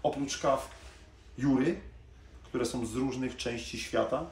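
A middle-pitched man talks casually as he walks a viewer through a coffee showroom, stopping for small hesitations. His voice bounces around a reflective room, with a faint hum of room noise behind him. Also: takes it slowly, darkish tone, echoey room. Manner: chatty, pointing at shelves while talking, small hesitations. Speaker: coffee shop salesman. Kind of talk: casual product presentation to camera. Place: coffee machine showroom, indoors.

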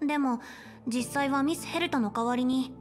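A high-pitched young female space station chief, voiced by an actress for a video game. She is polite, self-assured and caring, explaining things in measured, articulate phrases. Faint music plays behind her. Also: lightly acted. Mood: composed, explanatory. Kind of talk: Japanese game voice acting, explanatory dialogue. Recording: game dialogue over background music.

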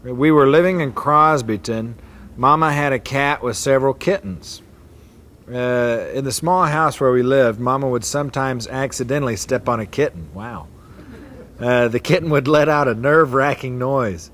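A man's voice: medium pitch, moderate pace, fairly bright tone. A man casually reading short family stories aloud to relatives in a hotel atrium, breaking off to chuckle and comment.

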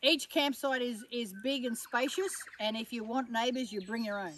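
A woman's voice: fairly high pitch, normal speed, fairly bright tone. A woman with an Australian accent, a farm stay owner explaining the rules in a plain, matter-of-fact way. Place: outdoors in a grassy bush clearing. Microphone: camera mic from a few metres away. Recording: distant outdoor mic, faint noise.

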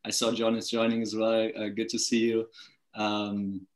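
A man's voice: fairly low pitch, moderate pace. German man in his twenties, roomy webcam. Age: 20s. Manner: relaxed, many fillers and restarts. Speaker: guest speaker. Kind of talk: casual video call talk. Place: small indoor room at home. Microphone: laptop webcam on video call.

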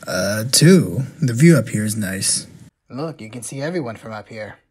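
A woman voicing a calm, dry teenage boy in a fan comic dub, talking in a flat, reassuring way. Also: very deep voice, moderate pace, husky, heavily acted.

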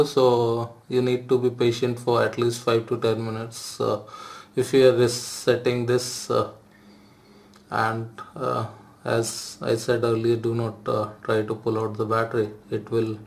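Flat-voiced man hosting a phone tutorial. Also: mid-range voice, a little slow. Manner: hesitant, frequent "uh" fillers, flat delivery. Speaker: tech video host.

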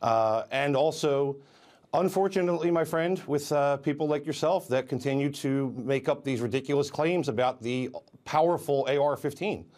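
A man's voice: on the high side, normal speed, darkish tone. A middle-aged man, a guest on a morning TV show speaking by remote link, firm and combative in his answers. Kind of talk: live TV interview by remote link. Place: remote TV studio.

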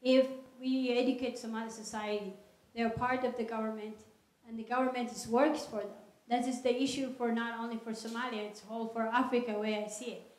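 A woman answering audience questions at a lecture in an earnest, measured way, speaking into a handheld microphone in a large hall. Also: fairly high pitch, level tone, darkish tone. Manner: earnest, measured, non-native phrasing. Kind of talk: public Q&A talk. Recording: echoey hall.

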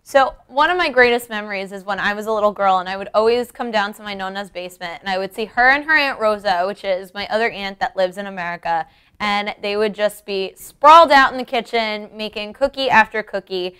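A woman's voice: mid-range voice, brisk, a bit bright, clear, resonant tone. A young woman presenting a cooking lesson in a conversational way, explaining each step directly to the viewer. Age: young adult. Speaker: cooking show host. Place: home-style kitchen, indoors.

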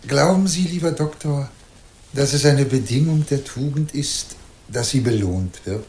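Actor as courteous professor in echoey room. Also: male voice, medium pitch, darkish tone, natural voice. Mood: probing, courteous. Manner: polite, deliberate, professorial. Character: courteous, probing, inventive science professor. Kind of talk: literary German radio drama dialogue. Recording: roomy, echoing sound.

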